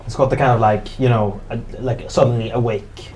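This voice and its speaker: A man's voice: mid-range voice, steady tone, a little fast, a little mellow, slight background noise, poor audio. A man improvising narration as the game master of a tabletop role-playing game.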